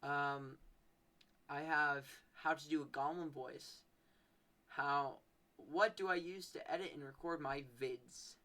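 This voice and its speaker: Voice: mid-range voice, fairly even intonation, takes it slowly, bright, forceful tone. A podcast host casually commenting on their own old videos, with ironic hype and a habit of echoing phrases.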